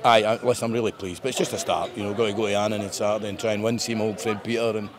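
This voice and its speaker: Scottish man, fifties, football manager, low voice, upbeat and rambling, crowd noise behind. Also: fairly even intonation, racing. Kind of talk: post-match interview. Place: bare indoor room with painted brick walls.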